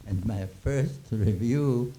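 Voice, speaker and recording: A man in his nineties, born in Austria with German as his first language, telling old stories in a halting, chuckling way with many restarts. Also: mid-range voice, warm tone, a little hiss or hum. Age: 90s. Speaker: interviewee. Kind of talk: reminiscing Q&A.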